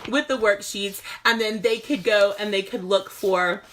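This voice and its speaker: A woman's voice: mid-range voice, fairly even intonation, unhurried, fairly bright tone, slight background noise, rough recording. A woman music teacher who gives casual classroom tips at home. She is chatty, laughs often, and uses many fillers. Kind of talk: casual instructional video.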